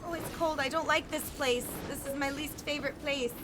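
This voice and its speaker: A woman's voice: high voice, steady tone, a little fast, noisy background. A young woman giving casual, playful, chatty live commentary as she plays a video game. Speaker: game streamer. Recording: loud game music behind voice. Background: video game music and combat sounds.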